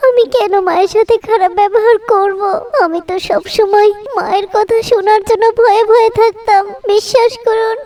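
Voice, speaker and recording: Woman as young cartoon wife, extremely high thin pitch, meek, fearful, pleading, deferential, melodramatic. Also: fairly even intonation, moderate pace, hardly any pauses, dark tone. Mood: tearful pleading. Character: meek, fearful young daughter-in-law pleading her innocence.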